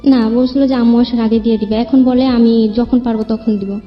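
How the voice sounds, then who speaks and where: A woman's voice: a little high, steady tone, brisk. A young woman with a Bangladeshi accent telling her own life story as a guest, in colloquial, loosely strung sentences. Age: young adult. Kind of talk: informal personal storytelling. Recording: dull lo-fi, long reverb, music behind.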